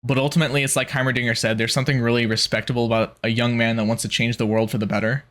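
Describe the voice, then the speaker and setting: Brief: young male reactor, casual musing, mid pitch. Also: level tone, very fast, a bit bright. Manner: casual, musing, loose fillers. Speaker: reaction video host.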